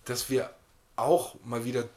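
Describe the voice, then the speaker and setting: A man's voice: mid-range voice, fairly even intonation, unhurried, mellow. A man co-hosting a podcast in casual, rambling banter with a wry touch. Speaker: podcast host.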